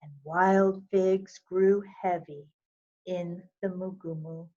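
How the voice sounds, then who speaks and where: Slow-paced woman reading a children's story. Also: lowish voice, steady tone, a little mellow, muffled recording. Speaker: volunteer reader of a children's book. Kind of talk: read-aloud storytelling of a picture book.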